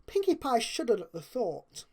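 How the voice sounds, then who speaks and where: A woman's voice: a little high, wildly swooping pitch, moderate pace, darkish tone. A woman narrating a story expressively, as if reading a storybook aloud.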